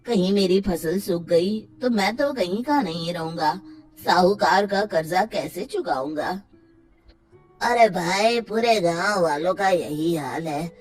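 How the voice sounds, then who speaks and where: Woman doing a worried village guy, slow anxious grumbling, cartoon, faint music. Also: fairly low pitch. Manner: anxious, complaining. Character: worried adult male villager. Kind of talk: cartoon voice acting.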